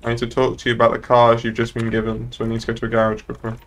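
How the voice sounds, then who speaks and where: A man's voice: mid-range voice, completely flat delivery, racing, a bit bright. A young British man chatting casually and informally while roleplaying as a moderator in an online game. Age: young adult. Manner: informal, sweary. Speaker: streamer, server moderator.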